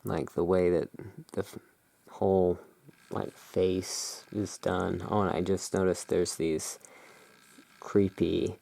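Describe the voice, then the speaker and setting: ASMR reviewer, very unhurried and musing, with a crackly vocal fry. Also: low voice, flat delivery, mellow. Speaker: tarot reviewer in a roleplay as emporium owner. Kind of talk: relaxed, unscripted show-and-tell commentary.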